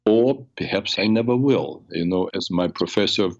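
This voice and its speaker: An older man hosting a radio talk show, raspy from a cold, telling personal stories in a rambling way with many fillers. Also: deep voice, normal speed, muffled recording. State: has a cold. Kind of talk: solo talk show monologue.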